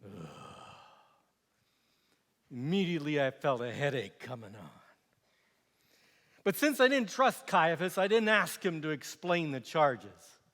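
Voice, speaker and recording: Sardonic Roman governor for stage monologue, male actor: high-pitched, forceful, scornful and exasperated, theatrical delivery. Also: a little slow, long pauses. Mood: weary, wry. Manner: animated, scornful, exasperated, swinging from quiet asides to outbursts. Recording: echoey hall with long reverb.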